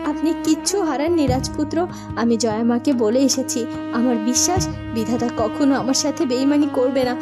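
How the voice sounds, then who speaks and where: A woman's voice: high voice, moderate pace, loud music behind the voice, lightly acted. A woman playing a resolute, impassioned princess and grieving mother in a cartoon. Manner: firm, impassioned, exhorting.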